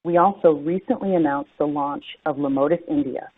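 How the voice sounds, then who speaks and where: A woman's voice: low-pitched, brisk. A woman giving a formal, scripted earnings presentation as a company executive over a conference phone line. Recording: dry, tinny narrowband phone line.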